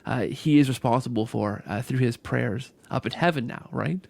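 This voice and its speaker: A man's voice: medium pitch, normal speed, gravelly, darkish tone, dry recording. A man hosting a conversational interview, effusive, repeating words and often tagging sentences with "right?". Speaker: podcast host.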